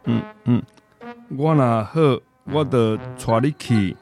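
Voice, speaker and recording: A man playing a frail, loving old grandfather with slow speech in a radio drama. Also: medium pitch, darkish tone, soft background music. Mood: labored, tender.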